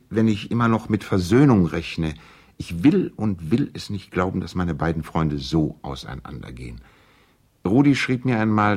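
A gentlemanly lawyer and concerned old friend in a vintage radio play. He has a low, deep voice and delivers his letters in an earnest, personal, pleading way. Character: courteous, worried male lawyer and loyal family friend. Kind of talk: 1970s German radio play, letters read aloud.

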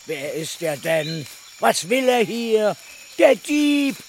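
A man's voice: high-pitched, sing-song, slow pace, creaky, full character voice. An actor playing a servile, sneering, resentful man who fawns with open scorn in a radio drama. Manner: obsequious, scornful. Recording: faint background noise.

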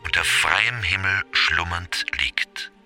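A man reciting literary quotations in a crisp, deliberate manner. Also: low-pitched, bright, forceful tone, faint music behind, heavily acted.

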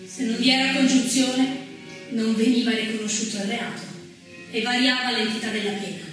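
An actress playing an earnest female chronicler, narrating history in a measured, formal theatrical style. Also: fairly high pitch, distant mic, close to an everyday voice. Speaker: stage actress. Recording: very echoey stage from afar, music.

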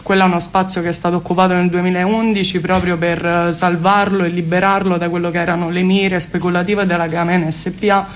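A woman's voice: on the low side, level tone, fast talker, few pauses, a little hiss or hum. A woman speaks as an interview guest, explaining earnestly and at length with hesitant phrasing. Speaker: guest, cultural space activist. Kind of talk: spoken radio interview, explanatory.

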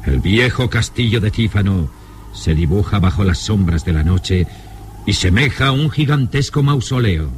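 A man's voice: lowish voice, lively intonation, normal speed, a little hiss or hum. A man narrating a vintage radio drama in a solemn, suspenseful, theatrical style.

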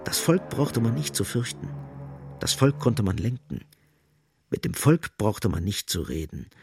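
A man's voice: lowish voice, big pitch swings, measured pace, vocal fry, a little mellow, faint music behind. A man narrating a scripted literary radio drama as storyteller.

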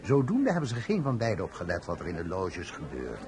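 Lowish male voice, hugely lively intonation, sly gossiping marshal, radio drama, crowd behind. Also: a little fast, heavily acted. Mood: sly, amused. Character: Marshal Murat, sly, gossiping French courtier officer. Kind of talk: radio play acting.

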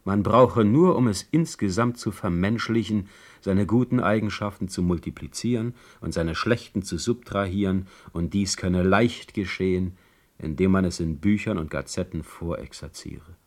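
A man's voice: low voice, normal speed. A man playing a sardonic, imperious German poet in exile for a radio play, declaiming his words. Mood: bitter irony. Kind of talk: radio play acting and letter reading.

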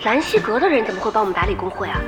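A woman acting in an audio drama as a calm young gamer, friendly and gently curious. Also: mid-range voice, racing, close to an everyday voice. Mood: curious, amused. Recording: studio drama with music behind.

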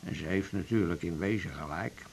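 An ailing but talkative man in a radio drama, his voice on the low side. He chats with wry familiarity, like a regular at the surgery. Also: a little fast, creaky, slight background noise. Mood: chatty, wry. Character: chatty, ailing male patient in a waiting room.

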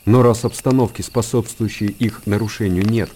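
An actor playing an aging, world-weary hermit monk in a radio monologue, speaking in a measured, pensive and solemn way. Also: male voice, fairly low pitch, steady tone, warm tone, a little hiss or hum, natural voice. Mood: contemplative.

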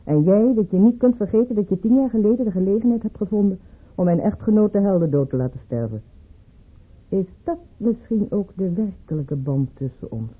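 An actress playing a proud, bitter aristocratic mistress in a radio play, with cutting, theatrical delivery. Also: female voice, medium pitch, breathy edge. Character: proud, bitter, sharp-tongued aristocratic mistress, a widowed baroness. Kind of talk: Dutch radio play acting. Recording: muffled, phone-like old radio play recording.

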